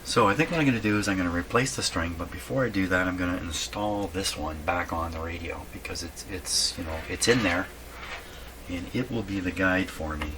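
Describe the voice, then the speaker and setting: A man giving casual hands-on repair narration, halting and thinking aloud to himself as he works. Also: low-pitched. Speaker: host of a radio repair channel. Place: indoors at a home workshop. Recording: clearly noisy room recording.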